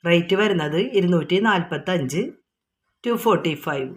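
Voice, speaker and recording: A woman's voice: on the low side, normal speed. A woman with a Kerala accent informally showing products item by item, explaining each and repeating prices in an unscripted, repetitive way.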